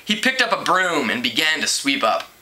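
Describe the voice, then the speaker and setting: A man reads a story aloud as an amateur narrator. His voice is strikingly bright and forceful and sits a little higher than most men's. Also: sing-song, moderate pace. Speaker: amateur book reader.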